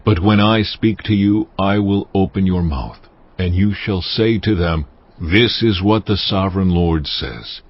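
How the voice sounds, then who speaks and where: Stern, commanding God for scripture drama: deep and solemn, slightly muffled recording with music behind. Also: male voice. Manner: solemn, weighty, deliberate delivery.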